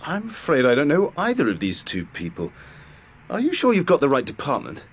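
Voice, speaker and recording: An actor playing a polite, evasive British military officer in a radio drama, hesitant and officious. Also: male voice, fairly high pitch, wildly swooping pitch, a little fast, slightly rough, heavily acted. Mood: polite, puzzled.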